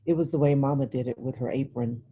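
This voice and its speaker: A woman's voice: very deep voice, fast, dark tone, breathy edge, dull audio. A woman reading literary fiction aloud as a narrator. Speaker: reader.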